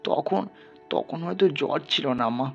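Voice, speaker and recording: A poor peasant father in a literary audio drama, acted by a man, tender and sorrowful. His voice is gravelly and husky, pleading in halting murmurs. The recording sounds dull, with music underneath. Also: a little high, animated, heavily acted. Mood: sheepish pleading. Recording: band-limited, music underneath.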